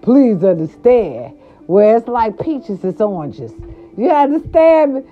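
A grandmother from California talking casually to her viewers, animated and chatty, with salty slang and lots of "right, right" fillers. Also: a little high, takes it slowly, faint music behind. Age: older. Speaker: vlogger. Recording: dull lo-fi sound.

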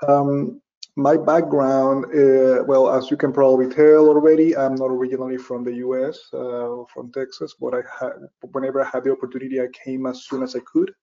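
A middle-aged man from Venezuela, a safety professional, answering interview questions with many pauses, fillers and restarts. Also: mid-range voice, creaky, warm tone. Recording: dull lo-fi audio.

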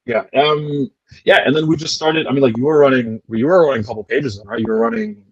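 A man's voice: medium pitch, animated, fast talker, slightly rough, no echo, dull audio. A man co-hosting a casual podcast, rambling with lots of fillers and repeats. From Canada. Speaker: podcast co-host.

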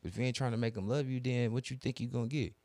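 A man's voice: mid-range voice, fairly even intonation, racing, darkish tone, no room sound. A young man telling a long, profane story in casual podcast chat, acting out both sides of the arguments. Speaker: podcast co-host.

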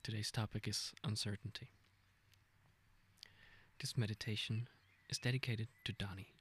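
A man leading a guided meditation, speaking gently and informally in an unhurried, intimate way. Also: fairly low pitch, steady tone, husky. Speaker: meditation guide, podcast host.

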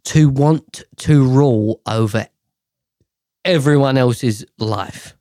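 A man's voice: mid-range voice, slow pace, warm tone, no echo, clean studio sound. A man ranting casually to camera, profane and exasperated, rambling with sighs and restarts.

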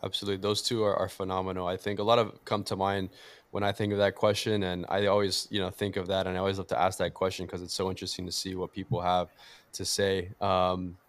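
A young man from Miami with Portuguese as his first language hosts a podcast in chatty, upbeat talk. His voice is low and smooth, recorded close and dry with no room sound. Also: monotone, fast talker, dry recording. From Miami, USA. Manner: enthusiastic, chatty, with many fillers. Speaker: podcast host. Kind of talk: casual interview conversation.

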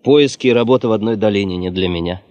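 A man's voice: on the low side, quick pace, dry recording, highs cut off, natural voice. An actor in a radio play playing a daring, stubbornly independent male field geologist with an offhand manner. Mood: guarded, offhand. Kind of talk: Russian radio play acting. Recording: noisy recording with loud surf effects.

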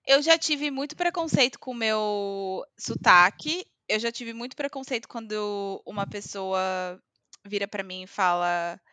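A Brazilian woman with a Brazilian Portuguese accent, chatting casually with hesitant pauses and fillers. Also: mid-range voice, pushed and bright, dry recording. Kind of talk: casual chat. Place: living in Scotland.

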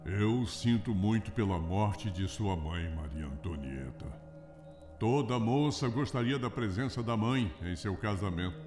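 Radio drama bridegroom: middle-aged Brazilian patriarch, solemn, unctuous and lecherous, low-pitched, unhurried. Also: male voice, roomy sound. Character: solemn lustful wealthy widower bridegroom, unctuous slave-owning patriarch.

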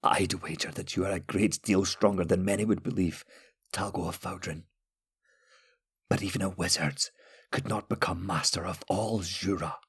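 A man voicing a frail old male wizard who is dying, speaking slowly with pain in his voice. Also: deep voice, steady tone, fairly bright tone, full character voice. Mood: grave. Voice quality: frail, pained, gravelly. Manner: slow, solemn, pleading.

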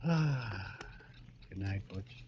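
A smooth, two-faced husband in a vintage radio thriller. His voice is on the low side, heard through a slightly hissy, dulled old recording. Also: animated, drawn-out words, muffled recording, exaggerated voice. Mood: falsely tender. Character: smooth, falsely affectionate, scheming murderous husband. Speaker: radio drama actor. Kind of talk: 1940s radio acting.

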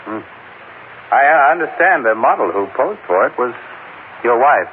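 An actor playing a breezy, inquisitive crime photographer, talking in casual slang in an old-time radio drama. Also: male voice, mid-range voice, very animated, moderate pace, lightly acted. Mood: curious, casual. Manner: casual, slangy, hesitant probing. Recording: tinny, noisy old radio broadcast.

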